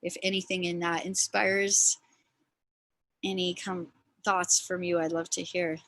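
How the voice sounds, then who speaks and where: A woman's voice: on the low side, flat delivery, unhurried, a bit bright. An American woman from the West Coast interviewing in a casual, effusive and rambling way over a video call. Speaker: interviewer, film director. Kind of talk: casual admiring conversation. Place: remote online video call.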